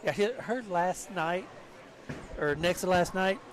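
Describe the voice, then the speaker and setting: A man's voice: sits high, lively intonation, a little slow. A man doing casual live commentary on a bowling tournament, chatty and joking and laughing often.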